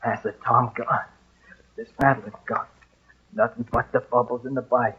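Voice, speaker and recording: An actor playing a grieving, vengeful servant on a bayou, with an American Southern accent and plaintive folksy dialect, in 1940s radio drama style. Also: male voice, mid-range voice, fairly even intonation, moderate pace, slightly rough. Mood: mournful. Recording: dull, lo-fi old radio recording.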